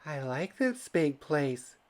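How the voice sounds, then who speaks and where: A man's voice: a little high, sing-song, slow pace, darkish tone, pitched above the performer's own voice. A man voicing a fussy, decisive female bird, the wife in a children's storybook, speaking emphatically. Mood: pleased.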